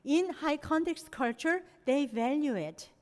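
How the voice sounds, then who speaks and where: A woman's voice: sits high, lively intonation, measured pace, a little mellow. A middle-aged woman from Korea, a native Korean speaker, giving an academic presentation with non-native phrasing and frequent "you know" fillers. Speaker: lecturer. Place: lecture hall. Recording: long hall reverb.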